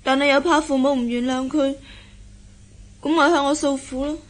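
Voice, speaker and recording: A woman's voice: high voice, steady tone, moderate pace, natural voice. An actress playing a shy, lovesick young daughter in a radio drama, hesitant and stammering as she hides her feelings. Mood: hesitant, upset. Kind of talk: Cantonese radio drama acting.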